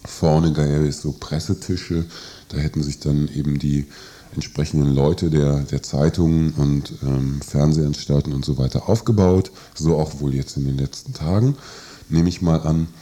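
A man's voice: rumbling low, steady tone, normal speed, warm tone. A man hosting a radio interview, feeling his way through questions with hesitant, searching phrasing. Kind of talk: live radio interview, halting spoken questions.

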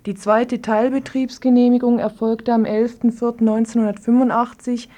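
A female news reader delivers a written report formally. Her voice is somewhat high in pitch with a soft, mellow colour. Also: flat delivery, normal speed. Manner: formal read-out phrasing.

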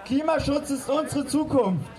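A young woman giving an impassioned political speech at a rally, loudly and emphatically projecting her words. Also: high voice, lively intonation, normal speed. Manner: loud, emphatic projected delivery. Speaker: climate strike rally speaker. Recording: loud outdoor rally noise and crowd.

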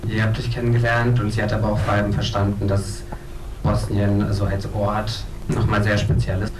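A man's voice: on the low side, completely flat delivery, normal speed, recorded from a distance. A man explaining a film's background informally at a live event. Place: echoey event room. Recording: very noisy, echoey room from afar.

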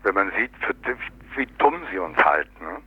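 A man's voice: low voice, very animated, moderate pace, slightly rough. A man discusses politics critically and off the cuff, sardonic and colloquial, often stopping to search for words and tagging on little question words. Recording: dull lo-fi local radio recording.

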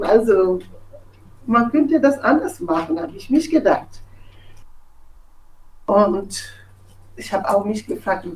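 A woman from Togo with a West African accent speaks German as a non-native, giving a halting, impassioned statement and repeating words for emphasis. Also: mid-range voice, a little mellow, recorded from a distance, rough recording. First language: not German. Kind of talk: impassioned spoken statement, unscripted.

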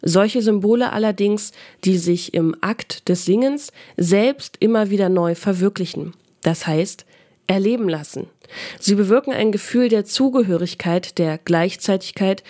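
A woman's voice: mid-range voice, mellow. A woman reading scripted narration for a radio documentary feature.

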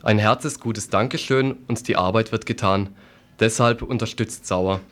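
A man's voice: on the low side, moderate pace, a bit bright. A man presenting the news, reading a scripted political commentary.

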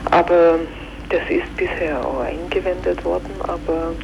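Low-voiced woman on a noisy phone line. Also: steady tone, moderate pace, vocal fry. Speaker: lawyer being interviewed. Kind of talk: casual spoken explanation. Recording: noisy, dull telephone line.

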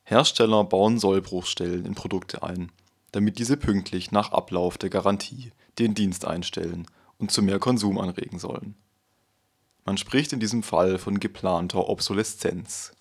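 A man with a very deep, gravelly voice, slightly husky, reads a calm news voice-over. His delivery is measured and even. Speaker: reporter narrating. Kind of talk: scripted voice-over narration.

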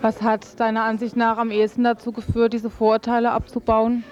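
A woman's voice: fairly high pitch, monotone, fairly quick, a little mellow. A woman radio interviewer putting plain, matter-of-fact questions, recorded with dull lo-fi sound.

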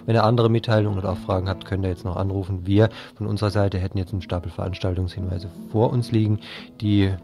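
A man's voice: sits low, steady tone, quick pace, hardly any pauses, dark tone. A man hosting live radio, making informal announcements to listeners with music behind him. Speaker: radio host. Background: guitar music.

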